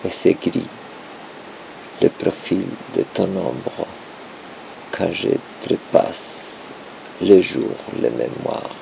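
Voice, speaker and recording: A man giving a performative poetry reading, with a halting, dramatic delivery in short broken phrases and a gravelly, creaky voice. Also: low voice, darkish tone, a little hiss or hum, low-quality recording.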